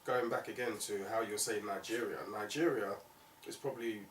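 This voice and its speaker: A man's voice: on the low side, steady tone, brisk, fairly bright tone. A man hosting a conversational music interview, speaking in a reflective, opinionated way. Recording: roomy, distant mic, faint noise.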